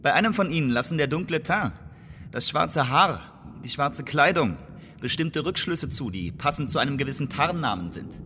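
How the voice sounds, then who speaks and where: An actor playing an anxious English spy in a German radio play, halting and pleading as he justifies himself to his superiors. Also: fairly high pitch, lively intonation, sharp tone. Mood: guarded, deferential. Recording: reverberant hall, noisy background.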